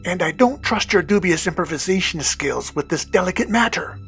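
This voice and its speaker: A woman's voice: on the low side, animated, normal speed, faint music behind. A woman playing an imperious, condescending Prince of Darkness in a scripted comedy podcast skit. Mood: commanding. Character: haughty, scornful Prince of Darkness, demanding master.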